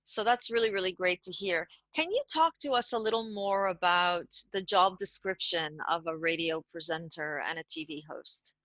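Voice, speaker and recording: Bright-voiced woman interviewer. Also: lowish voice, normal speed, few pauses, no room sound, poor audio. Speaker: interviewer, careers adviser.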